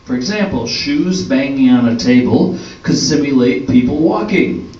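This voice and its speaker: An older man presenting an amateur radio play on stage in a slow, scripted way. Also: mid-range voice, expressive, darkish tone. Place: indoor hall at a live performance. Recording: roomy echoing hall, distant mic, dull, faint noise.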